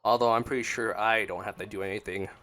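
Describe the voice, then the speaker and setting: A man's voice: fairly low pitch, steady tone, quick pace, pushed and bright. A man giving casual, playful commentary as he plays a video game, full of offhand asides. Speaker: streamer reacting to a game. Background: game music.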